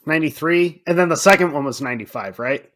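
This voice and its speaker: A man's voice: a little high, fast talker, a bit bright. A man co-hosting a film podcast, talking casually and swearing freely as he acts out his own reactions. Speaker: podcast co-host.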